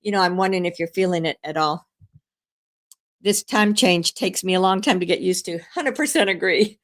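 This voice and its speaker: Older woman host, hoarse with a cold, rambling live-stream chat full of fillers. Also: fairly low pitch, moderate pace, fairly bright tone. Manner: rambling, chatty, many fillers and restarts. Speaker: channeler hosting a live stream; grandmother.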